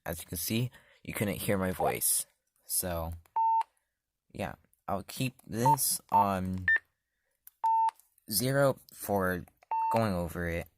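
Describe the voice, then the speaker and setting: A man's voice: low-pitched, animated, takes it slowly, long pauses, heavy background noise. A man casually demoing a product, talking loosely with many fillers and self-corrections. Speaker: product reviewer. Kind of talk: casual hands-on demo.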